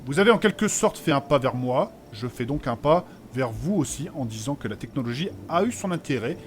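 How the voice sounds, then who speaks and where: An actor playing a formal, principled religious mage in an audio fantasy comedy, courteous and wordy in an old-fashioned way. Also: male voice, mid-range voice, expressive, a little fast, slight background noise, lightly acted. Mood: sincere.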